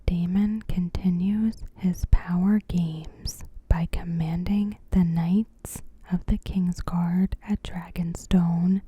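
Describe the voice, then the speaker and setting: A woman speaks in a breathy, hushed whisper, extremely slowly and deliberately. Her tone is very soft and dark, with no edge at all. She narrates like an ASMR host, in short phrases separated by gaps. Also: fairly low pitch, steady tone.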